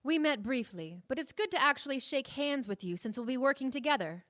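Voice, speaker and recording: An actress playing a proud, no-nonsense anthropologist adventurer, assertive and precise, in a sci-fi audio drama. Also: female voice, high-pitched, lively intonation, a little fast, a bit bright, no room sound, clean studio sound. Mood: composed.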